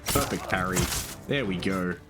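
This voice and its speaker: A young man with a soft, soothing voice, chatting casually while streaming a game, rambling with lots of ums and casual swearing. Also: low-pitched, moderate pace, loud music behind the voice. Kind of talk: casual gaming stream chat.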